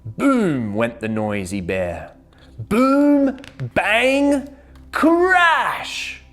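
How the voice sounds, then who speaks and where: A woman hosting a children's read-aloud, telling a rhyming picture book in an expressive, dramatic way. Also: medium pitch, drawn-out words. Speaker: children's story reader and host.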